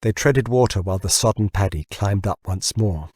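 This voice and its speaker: A man's voice: on the low side, moderate pace, a little mellow, dry recording. A man narrating scripted fiction as an audiobook reader.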